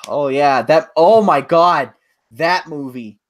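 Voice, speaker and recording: A man's voice: on the high side, takes it slowly. Sweary, ranting man. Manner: ranting, sweary, filler-heavy. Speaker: podcast co-host. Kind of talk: casual chat.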